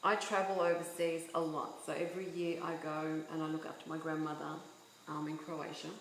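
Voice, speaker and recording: A woman's voice: low-pitched, flat delivery, a little fast, reverberant, slight background noise, rough recording. A woman from Yugoslavia, a book author, talking earnestly as an interview guest and making her points with rhetorical questions. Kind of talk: casual interview chat.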